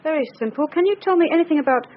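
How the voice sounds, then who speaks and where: An actress playing a wry, probing police detective with an English accent in a naturalistic radio drama. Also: female voice, high voice, rapid-fire, close to an everyday voice. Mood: brisk, insistent. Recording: dull radio recording with hiss.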